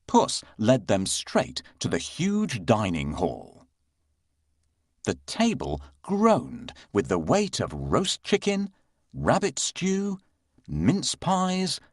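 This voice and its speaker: A man narrating a children's bedtime story in an expressive storytelling manner. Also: mid-range voice, drawn-out words, breathy edge, dry recording, clean studio sound.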